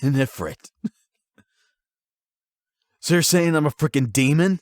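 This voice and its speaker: A man's voice: a little high, unhurried, no echo, clean studio sound, pitched above the performer's own voice, natural voice. A man voicing a bitter, self-loathing young delinquent who is half demon, brash, sweary and defensive, in an anime audio roleplay. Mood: incredulous, irritated.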